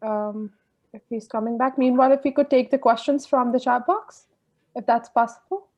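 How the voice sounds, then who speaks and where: A woman's voice: on the high side, moderate pace, a little mellow. A woman co-moderating an online event, giving brief organizational updates over dull, lo-fi call audio. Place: online video conference.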